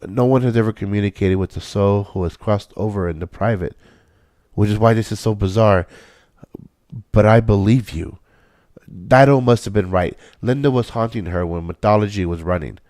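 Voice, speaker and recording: A man's voice: lowish voice, moderate pace, a little mellow, no echo, lightly acted. Man playing an earnest scientist, dry close sound. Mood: urgent, explaining. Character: Einstein, earnest male scientist and inventor explaining himself. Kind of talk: audio drama acting.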